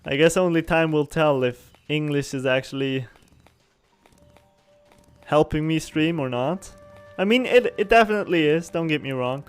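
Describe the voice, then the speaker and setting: A streamer talks loosely while he plays, in a somewhat higher voice for a man. He wanders between thoughts, stops to fix his own words and often laughs softly. Faint game music plays underneath. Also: normal speed, long pauses, clean tone. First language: German. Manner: rambling, self-correcting, chuckles often. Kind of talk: casual chat while gaming on stream.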